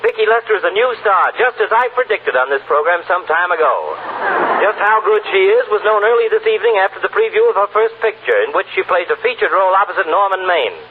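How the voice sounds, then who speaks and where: A man's voice: high-pitched, expressive, fast. An actor playing a self-satisfied male Hollywood gossip reporter in a 1940s radio drama. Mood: smug, newsy. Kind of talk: 1940s radio acting, broadcast commentary. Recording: tinny old radio recording, faint noise.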